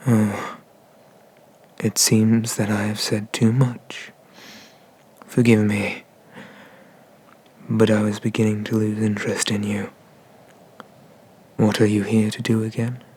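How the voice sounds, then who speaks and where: A man playing a haughty, vengeful dragon god in an ASMR roleplay, speaking with deliberate, disdainful menace and often slipping into whispers. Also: fairly low pitch, flat delivery, darkish tone, husky. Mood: condescending, bored.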